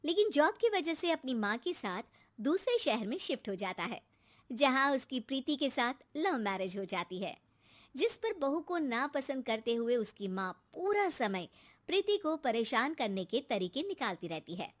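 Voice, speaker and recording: Woman narrator, very high pitch, scripted delivery, cartoon storytelling. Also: big pitch swings, normal speed.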